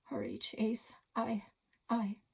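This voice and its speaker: A woman's voice: a little high, very animated, slow pace, creaky, husky, pitched above the performer's own voice, full character voice. A woman voicing a nervous, melodramatic young male detective in a storybook, with an anxious, pleading, folksy manner. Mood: panicked.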